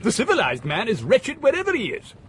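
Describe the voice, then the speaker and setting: A gloomy, declaiming philosopher. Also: male voice, very high voice, wildly swooping pitch, brisk, fairly bright tone, a little hiss or hum, heavily acted. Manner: declamatory, pessimistic. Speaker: character in a radio drama. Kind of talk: 1980s radio drama acting.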